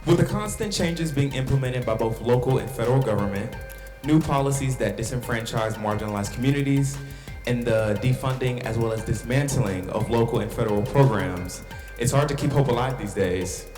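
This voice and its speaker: A man's voice: mid-range voice, brisk, echoey room, music in the background. A man hosting a discussion podcast, opening with upbeat introductions and then asking his guests questions. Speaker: host.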